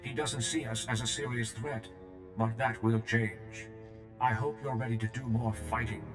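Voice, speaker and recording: A man's voice: on the low side, monotone, echoey room, over music, lightly acted. A man voicing a blunt, free-willed medical droid in a video game, speaking formally and plainly. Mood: grave, urgent. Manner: formal, plain-spoken, measured. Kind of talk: video game cutscene acting.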